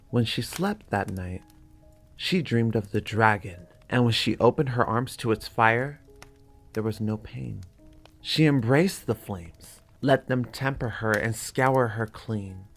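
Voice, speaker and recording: A man narrating a fantasy story from a script in a measured, dramatic manner. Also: medium pitch, a bit bright.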